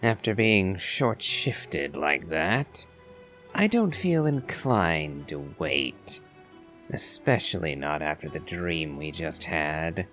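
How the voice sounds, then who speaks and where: A man giving low-key video game playthrough commentary, musing in a measured, wry way. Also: animated, a bit bright. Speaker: gamer playing and voicing reactions. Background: game music.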